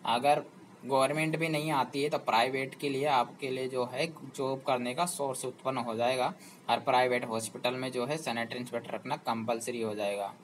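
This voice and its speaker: A man's voice: medium pitch, fairly even intonation, moderate pace, slight background noise, rough recording. A man hosting a job information channel, explaining to students persuasively and often asking them rhetorical questions.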